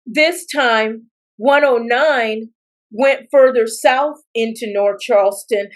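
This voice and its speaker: An American woman from South Carolina answering interview questions as a political candidate, speaking in deliberate phrases with pauses. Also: fairly high pitch. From South Carolina, United States. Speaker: political candidate, attorney and former judge.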